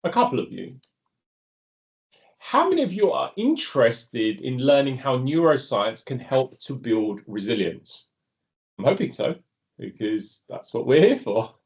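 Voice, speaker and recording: A man opening a live webinar as its host. He talks in an earnest, measured way, his pitch lifting brightly now and then. The sound comes through a call microphone. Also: sing-song, dull audio. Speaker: webinar host, organization founder.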